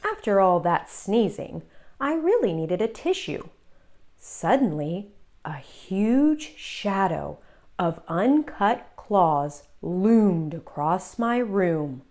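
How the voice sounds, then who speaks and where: Woman reading a storybook with animated delivery. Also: medium pitch, faint music behind. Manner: theatrical, dramatic pauses and emphasis. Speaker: children's story reader. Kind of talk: expressive read-aloud picture-book narration.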